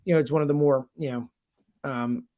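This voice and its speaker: A man's voice: mid-range voice, fairly even intonation, brisk, dark tone. A man talks sports in a casual, slangy way, like a radio guest breaking down a team. He is blunt and opinionated, leaning hard on his points with plenty of emphasis. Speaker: sports radio producer and host, guest commentator. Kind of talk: casual sports talk analysis.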